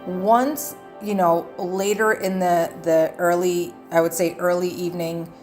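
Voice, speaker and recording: A woman's voice: lowish voice, steady tone, a little slow, darkish tone. A woman travel vlogger narrating a casual guide in a chatty, rambling, earnest way. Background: background music.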